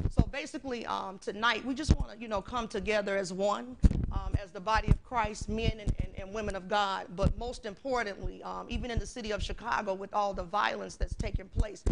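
A woman's voice: medium pitch, gravelly. A middle-aged woman hosting a religious talk show, saying "you know" often. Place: indoor studio set, seated. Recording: noisy TV audio with clicks.

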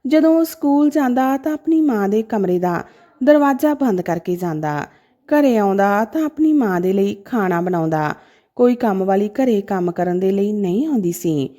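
A woman's voice: medium pitch, expressive, normal speed, warm tone. A woman narrating a scripted story in a dramatic, emotive manner.

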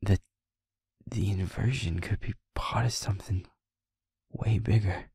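A man playing a feisty, shaken young werewolf boyfriend in an intimate audio roleplay, husky and halting, muttering in a half-whisper. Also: sits low, mellow, dry recording, clean studio sound, full character voice. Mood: troubled, uneasy. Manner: halting, muttering, blunt swearing.

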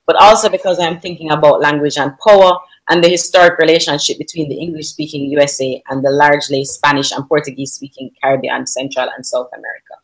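Moderator: a woman on a video call, low-pitched, measured, posing questions to the panel. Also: fairly even intonation, low-quality recording.

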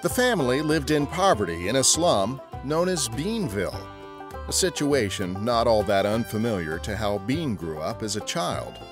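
Male podcast narrator. Mid pitch, lively delivery, scripted historical storytelling, faint music. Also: normal speed.

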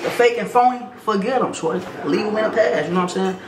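A man's voice: high-pitched, fast talker, crowd noise. A young man talking casually at home in laid-back slang, often adding "you know what I'm saying". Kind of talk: casual chat. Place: apartment, indoors. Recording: echoey room with background noise.

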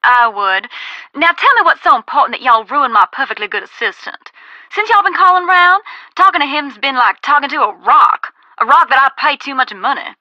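A woman's voice: high voice, sing-song, normal speed, sharp tone, no echo, highs cut off, full character voice. An actress playing a brusque, sardonic Southern American woman reporter in a video game, folksy and blunt, heard through a phone filter. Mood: brusque, impatient.

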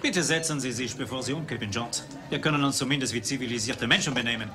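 A man's voice: medium pitch, fast, close to an everyday voice. Man acting a suave, condescending villain over music. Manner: polished, condescending, philosophical. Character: suave, cynical rival archaeologist, a Frenchman. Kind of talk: dubbed 1980s film dialogue. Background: film music.

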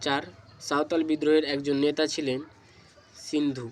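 A man reading out exam questions and answers as a tutor, in deliberate, segmented phrases. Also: a little high, little pitch movement. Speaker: exam tutor, channel host.

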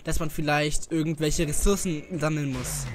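Excitable gamer joking with a friend. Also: male voice, fairly high pitch, moderate pace, slight background noise. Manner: excitable, jokey, bursts into yelling during fights. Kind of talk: casual gaming chat.

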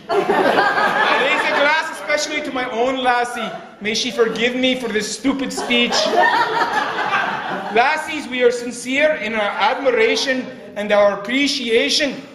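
A middle-aged man reading a comic toast aloud to a live audience, projecting theatrically. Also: very high voice. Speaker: toast giver. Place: large indoor banquet room. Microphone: camera a few steps away. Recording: echoey noisy hall with loud crowd. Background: laughing crowd, room noise.

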